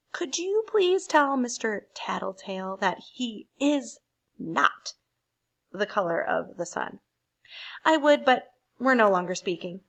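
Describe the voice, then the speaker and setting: A woman voicing a smug, scornful crayon in a picture book, snide and emphatic. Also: a little high. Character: smug, scornful orange crayon, petty rival. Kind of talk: picture book read aloud.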